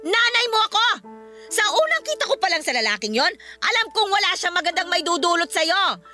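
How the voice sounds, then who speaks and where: Stern, scolding Filipino mother for radio drama: very high, sharp voice, disapproving. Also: sing-song, brisk, soft background music, heavily acted. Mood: furious. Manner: scolding, sharp rhetorical questions. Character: stern, nagging, disapproving mother of a grown daughter. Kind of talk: Tagalog radio drama acting.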